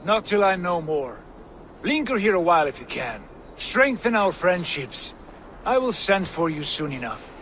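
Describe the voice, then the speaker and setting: An actor playing a commanding Viking chieftain giving brisk orders. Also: male voice, sits high, big pitch swings, fairly bright tone, a little hiss or hum. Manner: authoritative, brisk.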